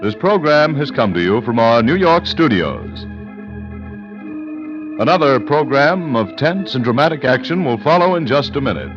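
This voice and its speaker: A man's voice: mid-range voice, big pitch swings, normal speed. An American man announcing a vintage radio program in a formal, dramatic scripted style. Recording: muffled old radio with organ music.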